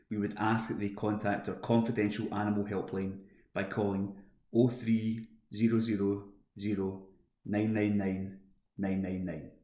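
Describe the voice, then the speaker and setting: Male volunteer news reader, flat, even intonation, scripted delivery in a roomy home recording. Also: on the low side. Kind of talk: scripted news reading for a talking newspaper.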